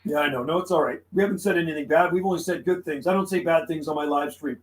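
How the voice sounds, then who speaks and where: A man's voice: fairly high pitch, quick pace. A man chatting casually and making radio calls on a live stream, easygoing and friendly, often saying man and buddy. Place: radio room inside his house.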